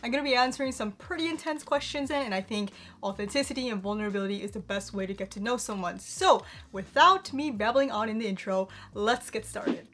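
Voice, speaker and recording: A woman in her twenties speaks with an American accent in a somewhat high voice. She talks casually to her viewers as a vlogger answering personal questions. Her way of talking is candid and chatty, with a touch of self-deprecating humour. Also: expressive, a little fast, few pauses, fairly bright tone. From United States, Chicago area. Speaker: vlogger, channel host. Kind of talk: casual personal Q&A talk to camera.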